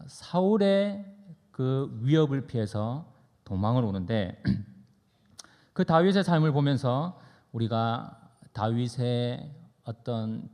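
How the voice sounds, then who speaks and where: A man preaching as a pastor in a conversational, anecdotal sermon style, deliberate and pausing between phrases. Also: medium pitch, creaky, a little mellow. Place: church sanctuary during a service. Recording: reverberant hall, long echo.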